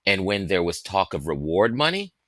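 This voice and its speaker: A man's voice: low-pitched, big pitch swings, moderate pace, a bit bright, no echo, clean studio sound, heavily acted. A man voicing an earnest, anxious young boy with an urgent, slightly timid manner in a storybook reading. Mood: worried, urgent. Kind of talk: storybook character voice in audiobook reading.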